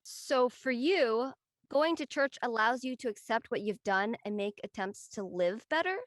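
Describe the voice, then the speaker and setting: A woman's voice: fairly high pitch, a little slow, close to an everyday voice. A woman playing a curious teenage friend, casual and questioning, in a drama read.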